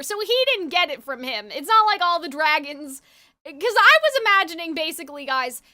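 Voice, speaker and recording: A woman's voice: very high-pitched, sharp tone, dry recording, studio quality. A woman game streamer chatting casually with her viewers and thinking aloud as she reacts to a story.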